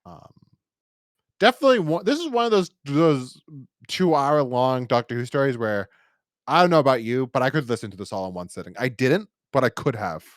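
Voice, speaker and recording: Animated male podcast co-host, dry close sound. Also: mid-range voice, a little fast, dry recording. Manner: enthusiastic, rambling. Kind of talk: casual review chat.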